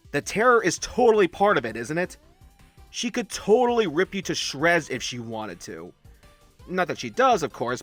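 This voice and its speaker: A man voicing a friendly, chatty young male werewolf who talks in teasing gamer slang in an audio roleplay. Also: a little high. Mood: teasing, earnest. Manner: slangy, hushed-to-excitable, teasing.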